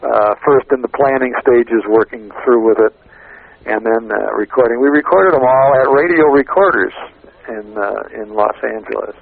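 An American man telling casual anecdotes in a halting, meandering way, repeating phrases as he goes. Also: medium pitch, gravelly, no room sound. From United States, Chicago area. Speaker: radio interviewer, guest recalling past interviews. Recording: lo-fi narrow radio or phone sound.

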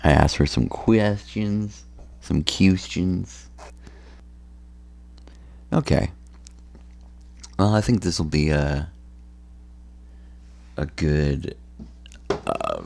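Very deep, gravelly tired man. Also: animated, a little mellow. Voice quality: gravelly, low voice. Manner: slow, rambling with many ums and restarts. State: tired and phlegmy. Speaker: podcast host. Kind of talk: solo podcast chat.

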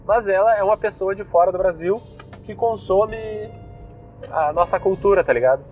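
A man's voice: sits high, normal speed, darkish tone, soft background music. A young man from southern Brazil with a Gaúcho accent, a podcast host rambling casually with loose slangy talk and many fillers while sitting in his car. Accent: Gaúcho Brazilian Portuguese. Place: inside a car.

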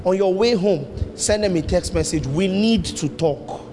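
A man preaches with emphatic force, often leaping into high exclamations. His voice sits high for a man. The hall gives it a long echo over faint background noise. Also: animated, measured pace. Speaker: pastor. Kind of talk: sermon. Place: church hall.

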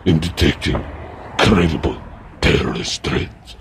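Brief: a very deep, deadpan ex-security mercenary, slow and clipped, noisy traffic behind. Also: male voice, gravelly, exaggerated voice. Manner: flat, clipped, stiff deadpan delivery. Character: gruff deadpan mercenary security man for hire.

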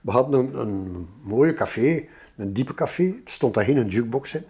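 An older man with a Flemish accent, telling informal stories from his past in an animated way as an interview guest. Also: medium pitch, normal speed, slight background noise. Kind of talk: informal spoken reminiscence.

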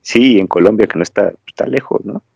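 A man's voice: fairly low pitch, fairly quick, creaky, a little mellow, no room sound. A Mexican man hosting a podcast, chatting casually and digressing as he tells stories. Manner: rambling, storytelling, digressive. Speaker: podcast host. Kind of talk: casual chat. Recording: dry, slightly dull lo-fi audio.

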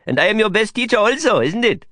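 Excitable, high-voiced Indian man. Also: fast, no echo, clean studio sound, full character voice. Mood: cheerful, proud. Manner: effusive, lilting non-native English phrasing. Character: eager, excitable Indian man, cheerful Bombay guide. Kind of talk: audiobook character voice.

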